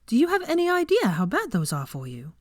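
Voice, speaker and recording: A woman voicing a sharp, easily exasperated young woman with an emphatic, scolding way of questioning, in an audiobook-style story reading. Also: fairly high pitch, wildly swooping pitch, a little fast, no room sound, studio quality, pitched above the performer's own voice. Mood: indignant.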